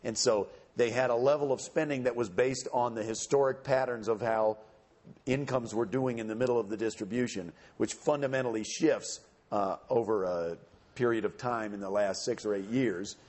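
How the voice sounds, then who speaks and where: A man on a panel answering audience questions in a measured, explanatory way. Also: mid-range voice, a little mellow. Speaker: panelist speaking for a campaign.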